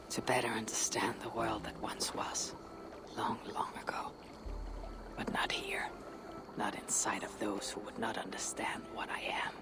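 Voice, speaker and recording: A woman playing a grave, introspective Viking warrior in a video game, husky and breathy, speaking in solemn, deliberate phrases. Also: extremely low pitch. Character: weathered female Viking warrior, grave, introspective, loyal leader. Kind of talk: dramatic video game acting. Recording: music behind the voice.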